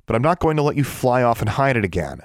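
A man voicing a headstrong schoolgirl witch who is quick to argue, in an audiobook. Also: mid-range voice, big pitch swings, dry recording, studio quality, pitched above the performer's own voice, close to an everyday voice. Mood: affectionate. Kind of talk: audiobook character voice.

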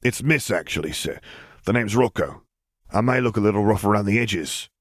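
A man voicing a rough-edged, teasing female clone trooper with a drawl in an audiobook. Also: fairly low pitch, expressive, normal speed, a bit bright, dry recording, studio quality. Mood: wry, chiding. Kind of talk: audiobook character dialogue.

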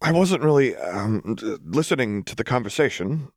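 A man voicing a gentle, worried young woman who speaks with careful, tactful softness. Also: mid-range voice, big pitch swings, moderate pace, no echo, clean studio sound, voice pushed up. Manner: tactful, soft, careful wording.

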